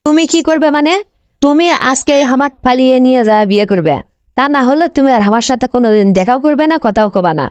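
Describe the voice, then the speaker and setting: Woman as pushy young girlfriend, north bengal accent, talks fast n high, coaxing, cartoon comedy. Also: expressive, darkish tone, no room sound. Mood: upset, insistent. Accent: North Bengal Bangladeshi. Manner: insistent, coaxing pleading. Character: pushy, lovestruck young village girlfriend and new bride.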